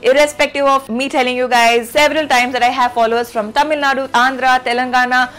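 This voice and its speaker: A woman's voice: sits high, quick pace, clear, resonant tone, faint music behind. A young woman with an Indian English accent, vlogging to camera in a chatty way that repeats itself, filmed in a small room at desk distance. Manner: chatty, repeats herself, lively hand gestures. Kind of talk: casual direct-to-camera vlog.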